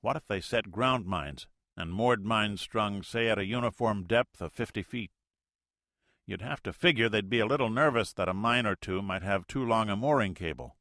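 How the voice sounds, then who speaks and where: A man's voice: lowish voice, normal speed, a bit bright, dry recording, studio quality. A man doing a careful submarine exec. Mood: thoughtful. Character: analytical submarine executive officer.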